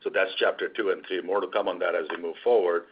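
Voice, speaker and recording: A man with a husky voice, a company executive, explains business plans semi-formally on an earnings call. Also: low voice, fairly even intonation, fast. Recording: dull, band-limited conference call audio.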